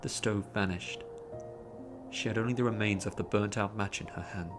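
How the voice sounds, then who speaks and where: A man narrating a story in a dramatic, expressive audiobook style, drawing out words theatrically. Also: on the low side, normal speed. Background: music behind the voice.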